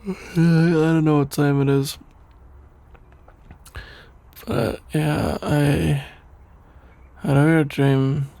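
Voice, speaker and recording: A man playing a shy, sleepy young best friend in an ASMR roleplay, stammering, hesitant and evasive in a creaky, drowsy voice. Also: a little high, flat delivery, slow, mellow, dry recording.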